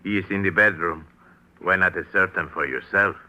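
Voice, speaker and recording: A man playing a suave, deep-voiced aristocrat. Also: fairly even intonation, normal speed, noisy background, close to an everyday voice. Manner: courtly, smooth, formal. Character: smooth suave gracious male aristocrat. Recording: old radio broadcast.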